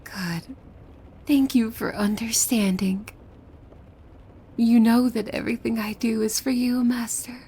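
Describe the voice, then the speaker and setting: A woman playing a possessive demon maid in an ASMR roleplay, devoted and softly menacing, with hushed formal speech that often slips into breathy whispers. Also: on the high side, fairly even intonation, measured pace, long pauses, slight background noise. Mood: calmly deflecting.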